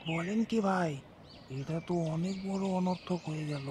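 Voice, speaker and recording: A man playing an excitable, alarmed village gossip in a cartoon folk tale. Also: sits high, moderate pace, close to an everyday voice. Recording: clear noise, outdoor birds behind.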